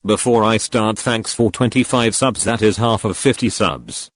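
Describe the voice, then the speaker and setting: Synthetic man's voice, low-pitched, robotic, stiff phrasing, scripted how-to narration. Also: steady tone, moderate pace. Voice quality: synthetic, robotic text-to-speech. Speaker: tutorial video host.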